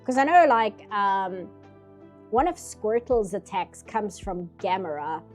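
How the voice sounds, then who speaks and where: A woman's voice: medium pitch, expressive, slow, soft background music. A nasal-sounding woman streaming herself painting, chatting casually in rambling asides. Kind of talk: casual chat while drawing.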